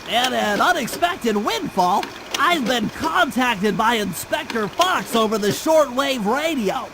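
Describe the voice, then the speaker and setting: High-pitched nerdy turtle strategist. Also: male voice, lively intonation, slow, heavily acted. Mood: earnest briefing. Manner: precise, wordy technical briefing. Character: nerdy, brainy young male turtle strategist, earnest and anxious. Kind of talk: video game cartoon voice acting. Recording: loud game music behind.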